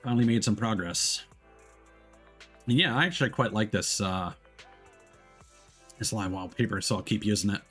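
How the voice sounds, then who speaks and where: A man's voice: on the low side, moderate pace, long pauses, a bit bright, husky. A man chatting casually to viewers on a livestream in an easygoing, rambling way. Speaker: VTuber livestreamer. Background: music.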